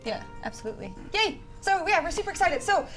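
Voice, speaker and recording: A woman hosting a game company's livestream as community manager, excitable and giggly, rambling with restarts. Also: extremely high pitch, fast talker, music in the background. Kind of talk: bubbly livestream chat.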